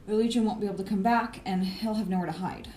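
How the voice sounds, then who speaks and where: A woman's voice: mid-range voice, fairly even intonation, fast talker, warm tone, close to an everyday voice. A woman acting a sardonic, matter-of-fact superpowered team planner in an audio drama. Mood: confident, plotting. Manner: casual, swearing, matter-of-fact. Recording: clear background noise.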